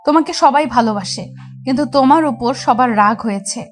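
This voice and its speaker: A woman's voice: high-pitched, moderate pace, natural voice. A woman playing a caring, chiding young college girl in an audio love story, with a Bangladeshi accent. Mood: tender concern. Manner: chiding, affectionate.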